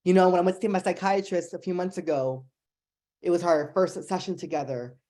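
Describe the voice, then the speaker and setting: A young woman with a New Jersey accent delivers an impassioned speech to a panel audience. She talks quickly and emphatically, leaning hard on her appeals. Her voice sits a little low for a woman. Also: fairly even intonation, warm tone. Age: young adult. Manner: fast-talking, emphatic, pleading. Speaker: symposium panelist.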